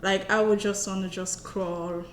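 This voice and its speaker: A woman in her twenties from Cameroon, a guest in a casual interview, speaking softly and hesitantly with many filler words. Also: mid-range voice, little pitch movement, unhurried, reverberant, a little hiss or hum. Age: 20s.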